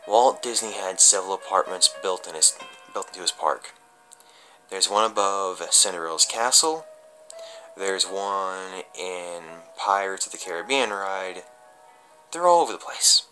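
A low-voiced man performs a creepy story as if confessing it to listeners. His delivery is slow and halting, with stumbles and stretched pauses, and his tone is very sharp and bright. Faint music plays behind him. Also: animated, low-quality recording. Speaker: amateur dramatic reader. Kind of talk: dramatic reading of a horror story in a confessional vlog style.

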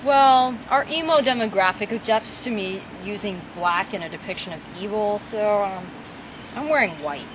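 A woman's voice: medium pitch, normal speed, close to an everyday voice. A woman playing an impatient, bossy villain who kidnaps princesses in an amateur comedy film. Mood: exasperated. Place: outdoors in a grassy backyard. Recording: faintly noisy outdoor camcorder sound.

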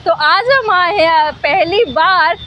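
A young woman with a high, bright speaking voice hosts a casual food review, slowing down as she savours each dish. She talks to a phone held at arm's length inside a small cafe, with faint background noise. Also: a faint crowd. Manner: chatty, mixes Hindi and English, slow drawn-out tasting remarks. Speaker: food vlogger. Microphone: handheld phone camera at arm's length.

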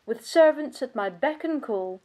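An actress playing a bitter, world-weary aristocratic vampire countess in a theatrical monologue, pompous and sardonic in manner. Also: female voice, sits high, lively intonation, a little slow, warm tone. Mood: haughty, disgusted. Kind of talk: scripted dramatic monologue.

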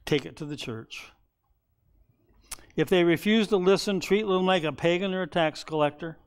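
Older man preaching in a high voice. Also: moderate pace, smooth. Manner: conversational preaching, acts out quoted voices with rising pitch. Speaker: pastor. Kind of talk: sermon. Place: church sanctuary, seated behind a wooden pulpit.

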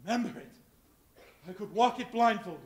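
High-pitched, anxious old miser acted by a man. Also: lively intonation, normal speed. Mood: moved. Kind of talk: live staged reading of a Christmas play. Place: stage in a dim auditorium. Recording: live stage recording with music behind.

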